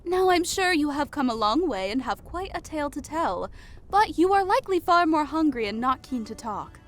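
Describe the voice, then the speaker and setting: A man's voice: very high-pitched, big pitch swings, normal speed, a bit bright, voice pushed up, heavily acted. A man voicing a light, playful, kindly female elf sorceress in a fantasy audio story. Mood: friendly, reassuring. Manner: playful, lilting.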